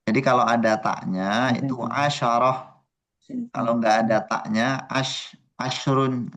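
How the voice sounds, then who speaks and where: Male teacher, online class, patient explanations, mid-pitched voice, video call audio. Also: steady tone, measured pace, a bit bright. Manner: patient, explanatory, drills students on number words. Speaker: Arabic teacher.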